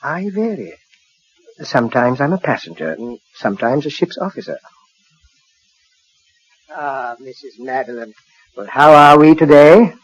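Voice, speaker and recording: An actor playing a genial, courteous British asylum doctor, faintly patronising, in 1940s radio acting style. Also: male voice, on the high side, expressive, unhurried, long pauses, husky. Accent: British English. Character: genial, matter-of-fact male asylum doctor. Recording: dull, lo-fi 1940s radio recording.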